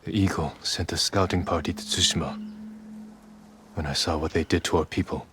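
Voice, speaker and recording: An actor playing a stern, determined samurai in a video game, speaking tersely and resolutely over background ambience. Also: male voice, deep voice, fairly even intonation, fairly quick, noisy background. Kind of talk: dramatic video game cutscene acting.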